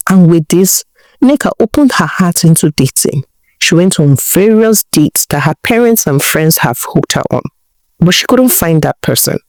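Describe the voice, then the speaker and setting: A woman's voice: low voice, darkish tone, no echo. Nigerian woman storyteller, dry close sound. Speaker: storyteller, narrator. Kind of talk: narrated folktale storytelling.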